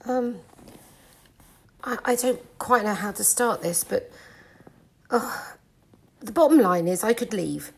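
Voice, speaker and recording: A woman's voice: mid-range voice, takes it slowly, creaky, pauses often, husky, exaggerated voice. A woman playing a hurt, frustrated woman confronting someone close in a hesitant, weary dramatic monologue.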